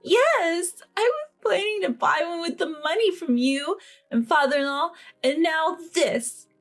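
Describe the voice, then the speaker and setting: Woman voicing a whiny, high-pitched spoiled young bride. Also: animated, measured pace, soft background music, heavily acted. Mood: whiny, upset. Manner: whiny, indignant, pleading. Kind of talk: dramatized online family story.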